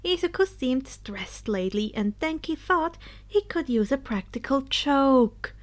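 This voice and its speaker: A woman's voice: high voice, animated, unhurried. A woman narrating fan fiction in a dramatic storytelling style.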